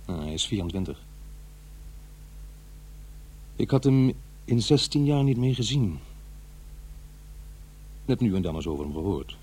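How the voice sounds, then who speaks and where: An actor playing an uneasy, defensive middle-aged divorced father in a radio drama, confiding in a halting, conversational way. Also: on the low side, animated, a little mellow, husky. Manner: halting, hesitant, self-interrupting. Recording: faint noise and hum.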